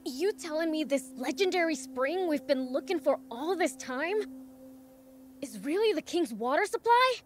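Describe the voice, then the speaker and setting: A woman's voice: high voice, animated, moderate pace. A woman voicing a brash, cocky young demon boy prince in a video game cutscene. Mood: impatient. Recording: loud music behind.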